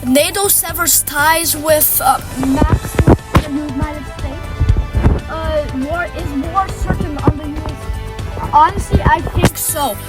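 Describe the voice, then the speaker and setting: High-voiced woman reading improvised news over music. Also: steady tone, rough recording. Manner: halting, hesitant delivery. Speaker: amateur news anchor. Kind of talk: improvised role-play newscast.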